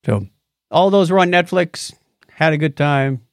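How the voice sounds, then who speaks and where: A man's voice: on the high side, lively intonation, a little slow, no echo, studio quality. A man co-hosting a podcast, chatting casually about shows and retelling things in a conversational way with quoted asides. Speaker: podcast co-host. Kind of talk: casual chat reviewing TV shows.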